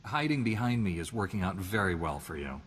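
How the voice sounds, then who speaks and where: Game casting: a skeptical, dry-witted Jedi, low-pitched, faint background hiss. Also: male voice, brisk, long reverb tail. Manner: dry, deadpan questions. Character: stoic human male Jedi hero, dry and skeptical. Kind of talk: video game dialogue acting.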